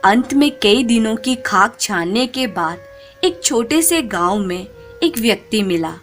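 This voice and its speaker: A woman narrating a scripted moral folk tale in a measured, expressive storytelling manner. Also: fairly high pitch, faint music behind.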